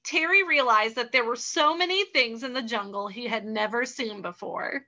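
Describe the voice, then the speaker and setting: A woman's voice: on the high side, moderate pace, pushed and bright. A woman hosting and narrating improvised children's stories in a theatrical, exclamatory way. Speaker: host and story narrator.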